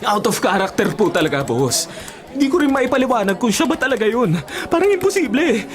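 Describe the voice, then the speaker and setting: A woman playing an anxious, loyal young friend with a Filipino accent, in dramatic, halting radio drama acting. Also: a little high, very animated. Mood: worried, disbelieving. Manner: dramatic, halting when distressed, breathless exclamations. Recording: loud dramatic music behind. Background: loud music.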